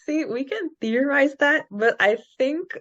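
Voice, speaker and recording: A woman's voice: sits high, expressive, measured pace, a bit bright, highs cut off. A woman co-hosting a podcast, casually recapping a story in a chatty, giggly manner. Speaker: podcast co-host. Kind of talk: casual book-club style recap chat.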